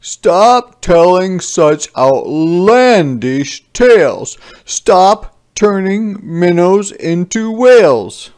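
Man playing a stern, slow-spoken scolding dad, raised pitch. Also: sing-song, darkish tone, clean tone, exaggerated voice. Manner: emphatic, stern, drawn-out words. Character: stern father scolding his imaginative young son.

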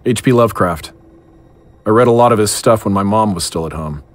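A man acting in an audio drama as a bewildered, lovesick man, speaking earnestly and haltingly. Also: on the low side, natural voice. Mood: bewildered, pleading. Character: bewildered, lovesick, weary man pleading with his beloved.

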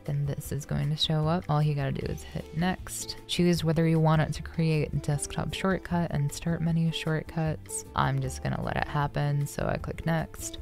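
Casual tutorial woman, gravelly creaky voice. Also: sits low, darkish tone. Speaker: tutorial host. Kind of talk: casual software tutorial narration.

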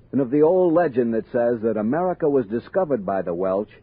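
A thin, band-limited 1960s radio recording of a thoughtful expedition captain. His delivery is measured and literate, with widely moving intonation. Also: medium pitch, husky, no echo, phone-quality sound. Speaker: narrator and actor. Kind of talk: 1960s radio drama narration.

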